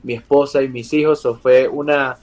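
A man's voice: medium pitch, fairly even intonation, normal speed, darkish tone. Venezuelan man, hesitant, full of fillers. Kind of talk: interview over a video call. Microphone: video call.

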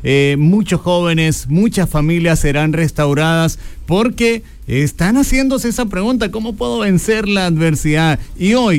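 Smooth-voiced Honduran radio host. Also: male voice, high voice. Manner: warm, encouraging, blessing the guest. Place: radio studio.

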